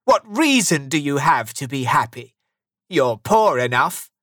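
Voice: medium pitch, very animated, measured pace, fairly bright tone, dry recording, studio quality, voice pushed up. A narrator voicing a grumpy, miserly old businessman from a storybook, snapping scornfully and emphatically.

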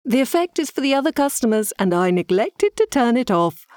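A woman voicing a gushing, eccentric female medium who coos endearments in a high sing-song voice. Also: big pitch swings, fairly quick, a little mellow, no room sound, studio quality. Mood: apologetic.

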